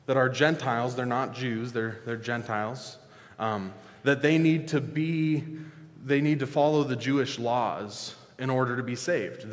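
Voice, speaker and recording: A male pastor giving a casual, joking sermon, often restarting and filling pauses with "um". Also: mid-range voice. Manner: relaxed, joking, halting with fillers. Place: church sanctuary. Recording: long reverb of a church hall.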